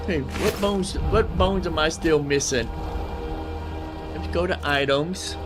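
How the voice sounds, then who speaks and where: A man's voice: on the high side, slow pace, music in the background. A man giving casual gameplay commentary, muttering asides and questions to himself. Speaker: gaming video host.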